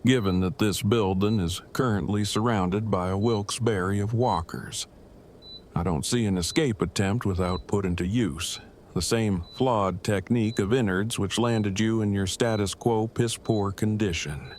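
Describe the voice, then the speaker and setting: A man's voice: on the low side, steady tone, a little slow, a little mellow, slight background noise, lightly acted. A man playing an awkward, self-protective survivor with stilted, formal, wordy speech in an audio drama. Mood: defensive, dry. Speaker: voice actor.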